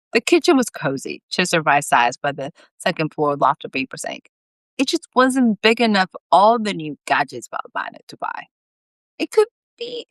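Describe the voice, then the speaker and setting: A woman's voice: lowish voice, no echo. A woman reading her own story aloud in a live, informal storytime style with chatty asides. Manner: animated, informal.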